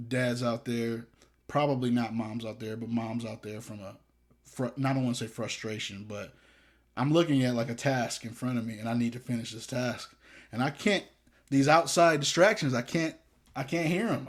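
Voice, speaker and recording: A young man co-hosting a casual podcast, rambling with hesitations and restarts. He drops in self-deprecating jokes. His voice has an ordinary middle pitch. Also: moderate pace. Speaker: podcast co-host. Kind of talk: casual chat.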